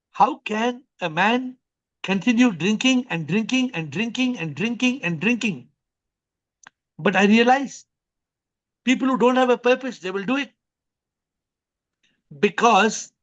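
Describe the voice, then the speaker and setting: A man with a high voice hosts an online spiritual question session. He leads with repeated questions and short stories from daily life, speaking. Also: fairly bright tone, highs cut off. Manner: probing, repeats questions to lead the answer.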